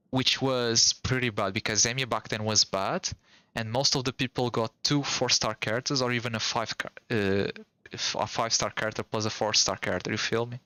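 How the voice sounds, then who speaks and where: A man's voice: medium pitch, bright, forceful tone, smooth, no room sound. A young man with a Portuguese accent, a native Portuguese speaker, chatting casually with viewers while streaming. He rambles in a loose, slangy way and restarts often. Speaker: game streamer.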